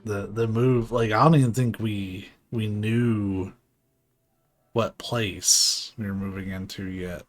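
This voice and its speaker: A man's voice: lowish voice, fairly even intonation, slow pace. A man giving casual live commentary on a game in an informal, rambling, joking way. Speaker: game streamer. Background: faint game music.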